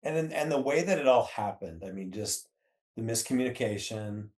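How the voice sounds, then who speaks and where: A man's voice: fairly low pitch, animated, moderate pace, darkish tone. Echoey American man chatting casually. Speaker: podcast guest. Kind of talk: casual chat, recounting. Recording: roomy, echoey sound.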